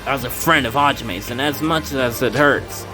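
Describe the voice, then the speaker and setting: A man's voice: mid-range voice, moderate pace, music in the background, low-quality recording. A man reading a fan game aloud, voicing its characters with casual asides and stumbles. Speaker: let's play fan dubber. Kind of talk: casual game playthrough with voiced dialogue.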